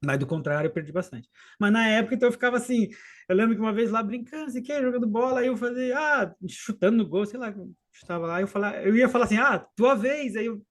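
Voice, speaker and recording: A man in his late thirties from southern Brazil with a faint gaúcho accent, telling a personal story in an unhurried, halting way, with frequent restarts and trailing asides. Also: high voice. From Rio Grande do Sul, southern Brazil. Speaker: podcast guest and co-host. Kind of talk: casual storytelling in a podcast chat.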